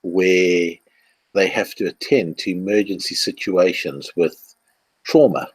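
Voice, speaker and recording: A man's voice: deep voice, takes it slowly, breathy edge. A man with a South African accent, telling stories in a casual podcast chat and laughing often. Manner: animated storyteller, laughs often. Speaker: co-host.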